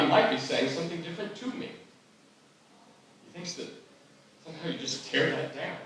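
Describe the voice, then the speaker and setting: A man's voice: on the high side, fairly bright tone. A man as a hesitant teenage son, very echoey. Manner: hesitant, earnest. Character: sensitive, troubled teenage son, torn and yearning. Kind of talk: live table read of a screenplay. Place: live festival stage reading in echoey room. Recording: echoey room, distant mic, faint noise.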